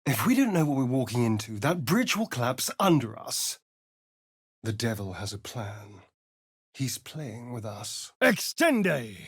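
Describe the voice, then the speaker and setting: A man's voice: medium pitch, big pitch swings, measured pace, breathy edge, dry recording, studio quality, full character voice. An actor voicing a sly, vain, sardonic male vampire spawn in a video game, with an arch, theatrical drawl. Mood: wary, pensive, then sharp alarm.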